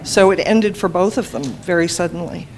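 Calm low-voiced woman explaining. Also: normal speed, a little hiss or hum, poor audio. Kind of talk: group discussion.

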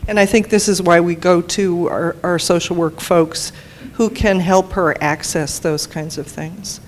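A woman on a panel answering audience questions in a brisk, affirming way. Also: on the low side, steady tone, slight background noise. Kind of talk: panel discussion.

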